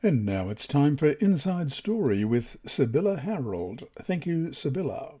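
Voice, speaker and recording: A man reading a devotional lesson aloud in a measured, deliberate manner. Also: on the high side, big pitch swings, warm tone. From Australia. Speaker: lesson reader and presenter.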